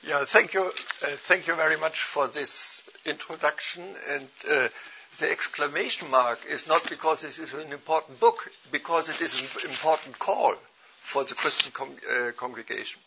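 Gravelly man with a German accent. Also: on the high side. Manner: measured, formal phrasing. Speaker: lecturer. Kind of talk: lecture. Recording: dull lo-fi with faint noise.

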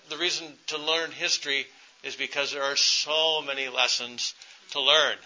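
Bright-voiced man introducing a speaker. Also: fairly high pitch. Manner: relaxed, with frequent fillers. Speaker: family doctor introducing a speaker. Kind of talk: conference introduction speech. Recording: dull lo-fi sound.

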